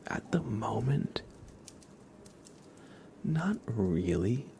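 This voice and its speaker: A man hosting an ASMR livestream, chatting softly and casually in a hushed, unhurried way that often slips into whispering. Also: low-pitched, gravelly, a little hiss or hum. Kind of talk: soft ASMR livestream chat.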